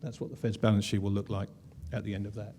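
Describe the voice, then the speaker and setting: A man's voice: on the low side, little pitch movement, fast, mellow, a little hiss or hum. A man presenting slides at a conference, wry and conversational, with frequent "uh" fillers. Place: conference room.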